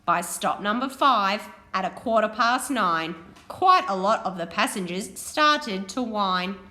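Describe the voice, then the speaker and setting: Bright-voiced woman teacher reading to children. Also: medium pitch, expressive, measured pace. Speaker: primary school teacher. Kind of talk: read-aloud lesson for young children.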